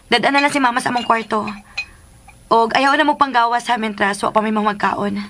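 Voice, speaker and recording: Actress playing higher-voiced, humble young Cebuano woman. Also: steady tone, brisk, a bit bright, slight background noise, lightly acted. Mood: dutiful, gentle. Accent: Cebuano Filipino. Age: young adult. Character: humble, hesitant young working woman named Dulce. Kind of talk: radio drama acting.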